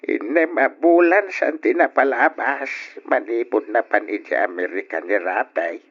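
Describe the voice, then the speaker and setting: A man's voice: mid-range voice, a little slow, faint music behind, close to an everyday voice. A man acting an insistent, concerned older father in an old Ilocano radio drama. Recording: dull old radio recording.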